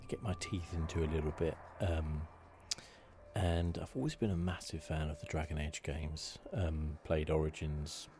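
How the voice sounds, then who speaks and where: A soft-spoken man giving hesitant, rambling ASMR commentary as host of a video game playthrough. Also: rumbling low, slight background noise.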